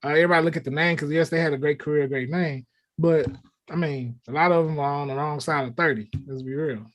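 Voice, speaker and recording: A man's voice: fairly high pitch, fairly even intonation, fast talker, lo-fi sound. A man on a talk show panel, debating sports casually in a matter-of-fact, argumentative way.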